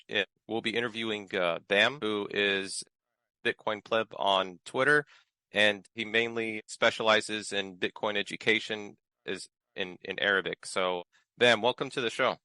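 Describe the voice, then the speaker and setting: A man with a lowish, bright, forceful voice hosts a podcast in a relaxed, friendly way, now and then restarting his words. The sound is dry and close, with no room around it. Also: smooth, no echo. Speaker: podcast host. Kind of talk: casual podcast interview.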